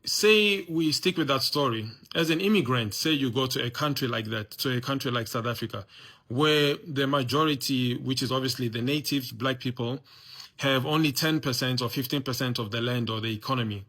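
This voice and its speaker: A man's voice: mid-range voice, fairly quick, sharp tone. A man giving an opinion monologue, lecturing viewers and often adding "you know" and "guys". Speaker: commentator.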